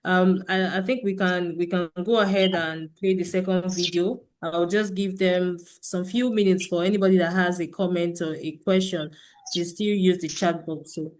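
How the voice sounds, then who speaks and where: A woman's voice: fairly low pitch, fairly even intonation, moderate pace. A woman moderating a webinar on a video call, hesitant and full of fillers.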